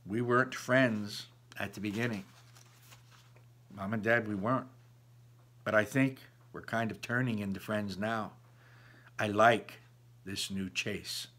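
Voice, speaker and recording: A man's voice: on the low side, fairly even intonation, a little slow, long pauses, fairly bright tone. A man voicing an upset, defensive teenage girl from a storybook, arguing her side with her parents. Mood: insistent.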